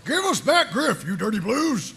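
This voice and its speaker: A man voicing a blustering, gung-ho older army sergeant in an animated comedy table read, barking pompously and theatrically. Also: extremely high pitch, normal speed, full character voice. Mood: belligerent.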